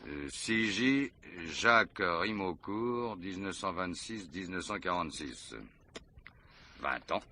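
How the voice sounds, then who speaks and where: Deep, sharp-voiced police commissioner. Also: male voice, animated, heavily acted. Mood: probing, pensive. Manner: inquisitive, brisk, corrects others. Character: probing, authoritative male police commissioner. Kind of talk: French radio mystery play acting.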